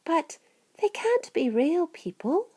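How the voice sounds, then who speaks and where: A woman voicing an earnest, gentle young boy full of wide-eyed wonder in a storybook reading. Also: very high voice, big pitch swings, slow pace, pitched above the performer's own voice, full character voice. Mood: disbelief.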